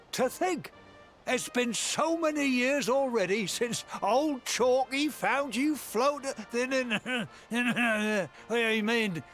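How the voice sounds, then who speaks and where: An actor playing a sentimental, kindly older village man in a video game, reminiscing in a halting, easily flustered way. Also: very high-pitched, a bit bright, heavily acted. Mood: fond, nostalgic. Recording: game music behind.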